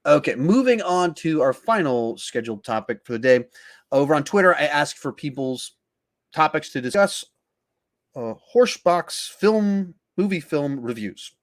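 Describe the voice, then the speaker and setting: A man's voice: on the high side, animated, normal speed. A man hosting a casual film podcast, explaining his points in a conversational way. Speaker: podcast host.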